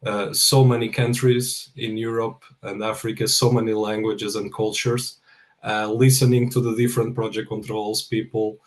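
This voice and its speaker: A middle-aged man with a Portuguese accent speaks as a guest in an interview. His voice is low and flat in intonation, and his delivery is halting, with repeated words as he introduces himself. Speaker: guest speaker, project controls professional.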